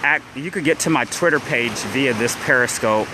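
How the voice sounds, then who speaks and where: A young man from central Illinois talks in a loose, rambling way, restarting sentences and leaning on "like." He speaks at a middling pitch into a phone held as he walks along the shore. Loud surf and waves wash steadily behind his voice. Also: moderate pace, background noise. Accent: downstate Illinois. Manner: rambling, many restarts and "like" fillers. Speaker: vlogger. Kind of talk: casual livestream chat. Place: beach at dawn, outdoors by the waves. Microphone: phone held while walking.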